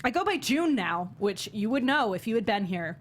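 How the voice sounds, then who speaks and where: Actress doing a bitter wounded daughter, sarcastic and dramatic, tv drama read, some room noise. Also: female voice, fairly high pitch, big pitch swings, normal speed. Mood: hurt, angry. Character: bitter, hurt young daughter, sarcastic and prone to panic. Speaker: actor reading a part. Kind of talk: table read of a TV pilot script.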